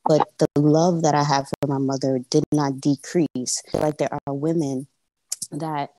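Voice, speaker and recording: A young woman in her twenties calls into a show and talks at length, full of ums and restarts. Her voice is low and mellow for a woman. It sounds dry and close, with no room around it. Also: steady tone, unhurried, no room sound, poor audio. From United States. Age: 20s. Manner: hesitant, many fillers, wordy. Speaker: caller. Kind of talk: casual conversation.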